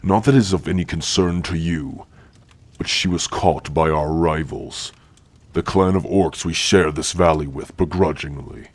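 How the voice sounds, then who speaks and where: A man voicing a stern, gruffly caring orc warrior-healer in an ASMR roleplay, speaking in a formal, commanding way. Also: extremely low pitch, unhurried, dry recording. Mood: gruff, guarded. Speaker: roleplay voice actor.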